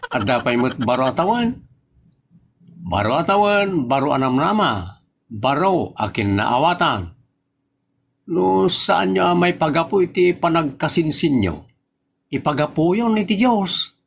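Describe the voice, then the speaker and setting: A man's voice: fairly high pitch, big pitch swings, unhurried, creaky, long pauses. A man playing a teasing nephew in a comedy audio drama, talking in a playful way. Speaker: nephew teasing his aunt.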